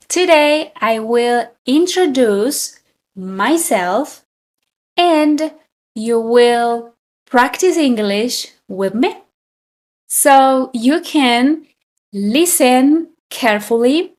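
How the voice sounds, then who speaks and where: Very slow, deliberate woman teacher. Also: a little high. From Colombia. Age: 30s. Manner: very slow, deliberate, with frequent repetition. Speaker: English teacher. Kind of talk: slow beginner English lesson.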